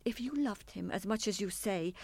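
A woman acting in a radio play as a bereaved wife, measured and insistent, controlled but obsessive about claiming a son. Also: mid-range voice, darkish tone, no echo, natural voice. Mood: pained, bitter.

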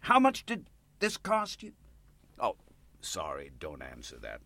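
An actor as a proud, volatile older man, big pitch swings. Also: wildly swooping pitch, unhurried, dry recording, heavily acted. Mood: excited, eager. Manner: swings from loud indignation to hushed despair. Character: proud, volatile 68-year-old destitute male storekeeper. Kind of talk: radio play acting.

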